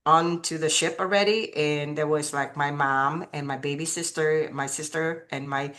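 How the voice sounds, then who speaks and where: A woman in her fifties from Vietnam with a Vietnamese accent, telling her life story as an interview guest, halting with many fillers and restarts. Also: low voice, steady tone, fairly bright tone. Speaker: martial arts master guest.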